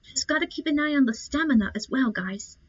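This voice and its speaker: A woman's voice: sits high, lively intonation, brisk. A woman giving casual commentary as she plays through a video game. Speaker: gamer presenting a playthrough. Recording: dull lo-fi mic.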